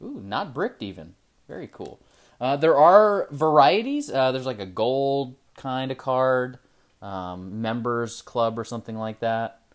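Male card-breaker host, casual desk chat, medium pitch, bursts of excitement then mumbled asides. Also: measured pace. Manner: chatty, excited, trails off quietly while looking at cards. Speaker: trading card channel host. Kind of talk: casual pack-opening commentary. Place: indoor desk with card display. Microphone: camera over the desk, hands only in frame.

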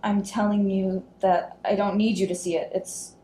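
Reserved, stubborn mother, read by a woman. Naturalistic drama, terse delivery, mellow voice, roomy sound. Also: mid-range voice, flat delivery, normal speed, close to an everyday voice. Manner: understated, terse. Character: estranged mother, reserved, guarded, quietly stubborn. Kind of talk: naturalistic dramatic scene reading. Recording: echoey room, faint noise.

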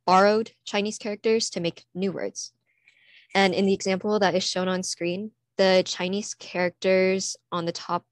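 A woman's voice: lowish voice, dry recording. A teenage girl from California giving a prepared student presentation at an online conference, earnest and reading steadily from her slides. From California, USA. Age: teens. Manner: earnest, reads from slides with small pauses. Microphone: computer mic, online conference.

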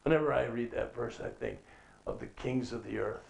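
A man's voice: medium pitch, fairly quick, vocal fry. A middle-aged man preaching from a lectern on stage at a conference, emphatic and full of rhetorical questions, his voice rising when he quotes scripture. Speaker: conference speaker. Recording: echoey hall.

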